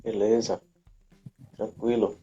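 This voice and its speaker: A man's voice: fairly low pitch, little pitch movement, takes it slowly, mellow, husky, soft background music. A man, hosting a casual live chat in an informal, hesitant way on lo-fi audio. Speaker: host, business administrator. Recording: dull lo-fi live stream audio.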